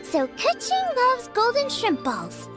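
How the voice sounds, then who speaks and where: A squeaky, bubbly fairy-like girl. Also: wildly swooping pitch, slow, music in the background, heavily acted. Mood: chirpy. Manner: refers to herself in the third person, bubbly. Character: tiny excitable floating girl companion, chirpy. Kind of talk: video game voice acting.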